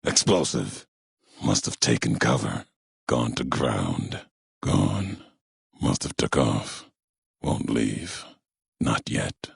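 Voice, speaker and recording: A haunted, vengeful wanderer from a video game. The voice is extremely low and thick with gravelly fry, worn husky at the edges. He speaks gravely in short, cryptic, measured phrases, in no hurry at all. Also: lively intonation, dry recording, clean studio sound, full character voice. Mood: menacing, terse. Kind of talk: video game character dialogue, solemn monologue.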